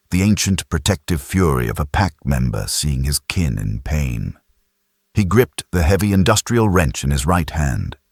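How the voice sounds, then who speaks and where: A man's voice: deep voice, husky, dry recording, studio quality. A man narrating a science fiction audiobook in a dramatic, scripted style.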